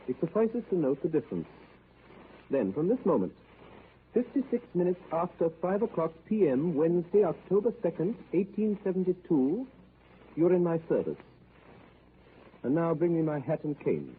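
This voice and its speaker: An actor playing a precise, cold gentleman of fixed habits, speaking in a crisp, measured way in a 1930s radio play. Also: on the high side, big pitch swings. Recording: tinny lo-fi 1930s radio broadcast, faint hiss.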